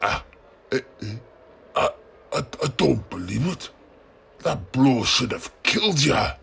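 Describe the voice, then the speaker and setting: A man voicing a rowdy, excitable clansman fighter in a fantasy story, exclaiming in dialect. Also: medium pitch, slow, gravelly, a little hiss or hum, full character voice. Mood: frightened. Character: rowdy clansman fighter, brash then frightened.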